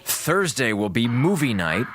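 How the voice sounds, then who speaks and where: An actor playing a wisecracking, sarcastic communications officer on a space station, talking casually in a radio drama. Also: male voice, medium pitch, very animated, measured pace, a crowd in the background. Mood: wry. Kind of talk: radio drama audio log narration.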